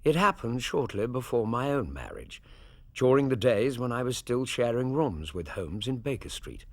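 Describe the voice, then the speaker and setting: An actor with an English accent playing a genteel Victorian doctor in a radio drama, measured, literate and courteous. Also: male voice, medium pitch, big pitch swings, darkish tone, dry recording. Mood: measured, reflective. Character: genteel Victorian doctor, wry loyal companion and storyteller. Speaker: actor and narrator. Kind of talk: period radio drama narration and dialogue.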